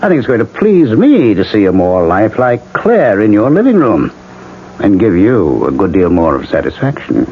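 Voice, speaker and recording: A man's voice: lowish voice, sing-song, normal speed. An actor voicing the needling ghost of a dead man, wry and insinuating, in 1970s radio drama style. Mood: sly, coaxing. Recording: muffled, hissy 1970s radio recording.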